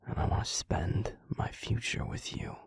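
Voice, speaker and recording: A man playing a gruff but tender, easily flustered young werewolf boyfriend in an intimate audio roleplay, speaking in a hushed, halting, husky voice. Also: no room sound, in a whisper, natural voice. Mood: tender, exasperated. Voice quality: breathy, husky, gravelly. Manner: hushed, halting, grumbling affectionate swearing.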